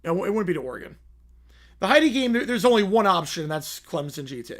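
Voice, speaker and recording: A man hosts a live game stream and calls the football play by play with a high, bright voice. His voice is hoarse and worn from long use. He reacts to the action and answers chat between plays. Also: fast talker. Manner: excited, rising commentary on big plays, quieter asides to chat.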